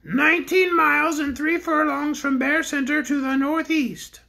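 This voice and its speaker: Woman doing a tiny shrill wind-up toy bear. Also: steady tone, creaky, pushed and bright, full character voice. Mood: mechanical. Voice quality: small, shrill, halting.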